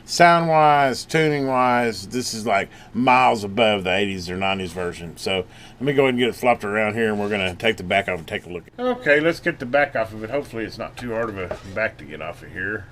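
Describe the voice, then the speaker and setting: A man's voice: lowish voice, lively intonation, fairly quick, a bit bright, a little hiss or hum. A man talking casually and off the cuff as he hosts a hands-on electronics review and repair, thinking aloud as he works. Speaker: electronics repair video host.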